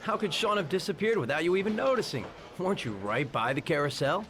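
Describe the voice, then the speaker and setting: A man's voice: on the high side, lively intonation, fast, background noise, lightly acted. An actor voicing a businesslike police lieutenant who questions a father firmly. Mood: brisk. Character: brisk male police lieutenant. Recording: game audio with music behind.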